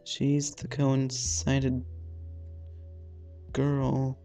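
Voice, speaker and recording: A man reads visual novel narration aloud as a let's play host. His pace is slow and halting, with a mid-range voice and faint music behind him. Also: mid-range voice, creaky, dark tone. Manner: halting, stumbling over the text. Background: faint game music.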